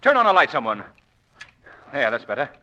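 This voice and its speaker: A man playing a confident gentleman sleuth in a 1940s radio drama, with a brisk, authoritative manner. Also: mid-range voice, sing-song, highs cut off. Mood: urgent, stern.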